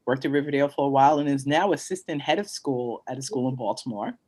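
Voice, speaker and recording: A man's voice: mid-range voice, fast talker, darkish tone, husky. A man who is a parent, speaking supportively in a conversational interview.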